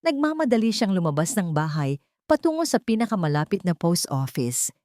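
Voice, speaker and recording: A Filipino woman tells a scripted romantic drama as its narrator. Her voice sits on the low side and moves widely in pitch. The sound is dry, with little room on it. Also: sing-song, a little fast, darkish tone, no room sound.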